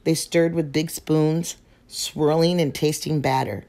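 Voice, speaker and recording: A woman reads a picture book aloud to children at an unhurried pace. Her voice is low for a woman, gentle and expressive. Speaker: storyteller.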